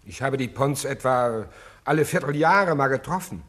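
Ein Schauspieler spielt in einem Krimihörspiel einen in die Enge getriebenen, förmlichen Herrn, angespannt und verzweifelt. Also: male voice, mid-range voice, lively intonation, normal speed, fairly bright tone. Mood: guarded. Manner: formal, strained. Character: desperate, cornered gentleman, blackmail victim and defendant. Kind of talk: German radio crime drama acting.

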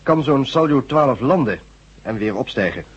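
A male actor playing a brisk, authoritative leader of a ragged rebel band in a science-fiction radio drama, curt and given to cursing. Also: mid-range voice, lively intonation, breathy edge. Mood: businesslike. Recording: muffled old radio drama recording.

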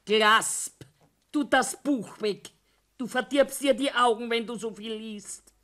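A woman's voice: a little high, slow pace, sharp tone, a little hiss or hum. An actress with a Bavarian accent playing a fussing, nosy, mothering woman in a 1960s German radio play. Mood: nagging, coaxing.

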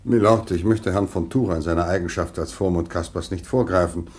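An actor playing a deferential, diplomatic mayor in a German radio drama, formal and obsequious. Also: male voice, deep voice, a little fast, darkish tone, close to an everyday voice.

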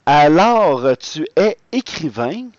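A male podcast host speaks at a slow pace and a mid pitch. His phrasing is earnest and rather florid, and the recording is very dry and slightly dull. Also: big pitch swings, no room sound. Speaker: podcast segment host and interviewer. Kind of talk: scripted podcast presentation and informal interview.

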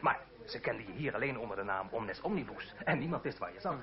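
An actor playing a proud, eager revolutionary delegate in a radio drama, talking in an emphatic, rhetorical way. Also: male voice, fairly low pitch, lively intonation, quick pace. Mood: proud, urgent. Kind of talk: theatrical radio drama acting. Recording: dull old radio recording, busy crowd behind.

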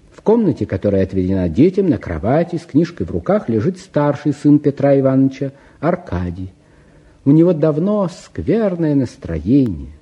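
A dull old radio recording carries a male storyteller with a husky, deeply mellow voice. His pitch moves freely as he introduces his characters fondly and addresses the listener. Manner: addresses the listener directly, unfolding the scene. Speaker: narrator. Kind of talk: theatrical narration of a radio play.

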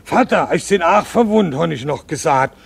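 A man playing a plain-spoken villager with a west-central German regional accent, giving a halting, colloquial eyewitness account in a radio play. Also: a little high, animated, vocal fry, breathy edge, heavily acted. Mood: agitated retelling. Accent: regional west-central German (Hessian-Palatinate).

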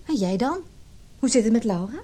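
An actress playing a conflicted but resolute forty-year-old wife in a radio drama, earnest and measured. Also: female voice, fairly high pitch, animated, warm tone. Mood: defiant. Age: middle-aged. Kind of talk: radio drama acting with reflective narration.

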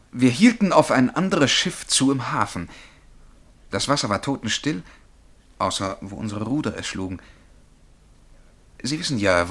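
A man's voice: medium pitch, fairly bright tone. Haunted young man, wide-swinging intense delivery. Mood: haunted, absorbed. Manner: intense, vivid, halting at times. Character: haunted young man recounting his dream as a galley slave. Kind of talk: German radio play acting, dramatic storytelling.

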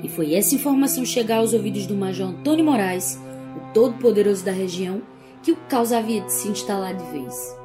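A woman's voice: medium pitch, a little fast, over music, lightly acted. A woman playing a folksy, gossipy clown who narrates a radio play theatrically. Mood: dramatic.

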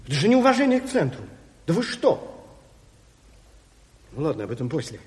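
An actor playing a self-important, indignant Soviet official who blusters officiously in an audio drama. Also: male voice, a little high, huge pitch swings, normal speed. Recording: reverberant studio hall.

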